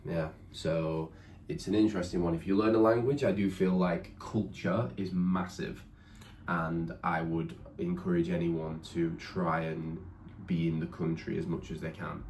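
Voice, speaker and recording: A man's voice: low-pitched, level tone, a little slow, a little mellow, slight background noise. A young man from northern England chatting casually and thoughtfully, often hedging and searching for words. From northern England, near Huddersfield. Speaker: vlogger's partner. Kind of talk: casual chat. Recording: echoey room with faint ambience.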